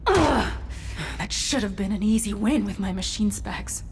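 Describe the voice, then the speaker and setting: An actress playing a determined, guarded but warm cyborg woman soldier in a video game. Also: mid-range voice, animated, a little slow, vocal fry, a little hiss or hum. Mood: frustrated.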